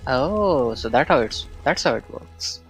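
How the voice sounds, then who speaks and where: Slow, casual man commenting on his game. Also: mid-range voice, lively intonation, a bit bright. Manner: thinking aloud, offhand reactions. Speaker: game streamer. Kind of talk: casual let's-play commentary. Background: game music.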